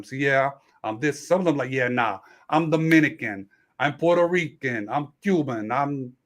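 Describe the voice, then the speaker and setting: A man's voice: medium pitch, fairly bright tone. A man hosting a casual late-night panel discussion, explaining at length and restarting his sentences. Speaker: host.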